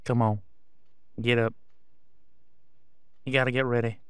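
Werewolf pack leader male actor, audio drama. Teasing, commanding but low-key, crisp close dry sound. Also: level tone, slow pace, no echo, lightly acted. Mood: tender, teasing. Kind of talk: roleplay audio drama acting.

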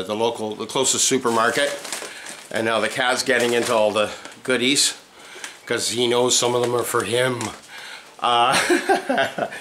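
A man in his fifties, chatting casually to camera from his apartment, relaxed and rambling with plenty of "uh" fillers. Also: medium pitch, slight background noise. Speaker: vlogger. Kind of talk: casual vlog chat. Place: apartment at home, indoors. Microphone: handheld camera mic, close.

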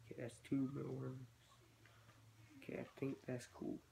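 A man's voice: medium pitch, completely flat delivery, normal speed, creaky. A teenage boy talking casually about his hobby while he works, stuffy with a cold and rambling as he thinks aloud. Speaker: reptile-keeping vlogger. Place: small bedroom, indoors. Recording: noisy home webcam recording.

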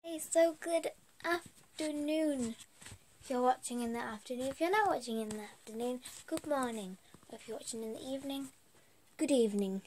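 A teenage girl vlogging from her bedroom, losing her voice, chatting in a rambling, hesitant way. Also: sits high. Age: teens. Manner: chatty, rambling, slow and hesitant with many ums. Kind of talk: casual vlog chat. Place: small bedroom at home. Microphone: webcam or phone at arm's length.

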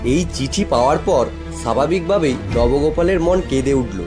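A man narrates a horror tale in a slightly high voice. His delivery is scripted, literary and measured, with a dramatic touch. Music is clearly present behind him. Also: animated.

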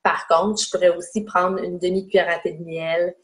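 A woman's voice: fairly low pitch, flat delivery, normal speed, slight background noise. A woman in her forties with a Québécois French accent, presenting a casual home webinar lesson and pointing out spots on herself as she explains. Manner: chatty, demonstrating on herself as she talks. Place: home room indoors. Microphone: webcam mic on a video call.

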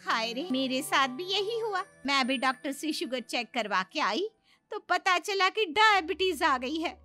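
A very high, piercing middle-aged neighbour woman from a cartoon moral story, acted by a woman. She gossips excitably and exclaims as she talks. Soft music sits behind the voice. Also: unhurried, full character voice. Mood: complaining.

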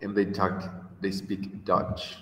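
A man's voice: deep voice, completely flat delivery, takes it slowly, sharp tone, a little hiss or hum. Mexican-accented man in his 30s, patient English teacher on a video call, echoey room with long reverb. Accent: Mexican Spanish. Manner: patient, encouraging, switches to Spanish to explain. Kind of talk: online English class.

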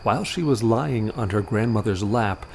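A man's voice: fairly low pitch, moderate pace, creaky, darkish tone, slight background noise. A man narrating an audio drama as a scripted story.

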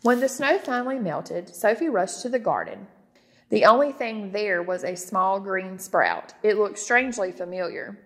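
A woman reads a children's story aloud at a middle pitch. Her phrasing is clear and expressive, and the room adds a long ring to her voice. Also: normal speed, big-room sound. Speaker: storybook reader. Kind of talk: children's read-aloud narration.

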